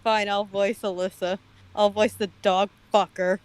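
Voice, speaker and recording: A woman's voice: medium pitch, level tone, measured pace, darkish tone, clean tone. A woman joining a comedic read-along as a guest, bantering casually with the others. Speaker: guest co-reader.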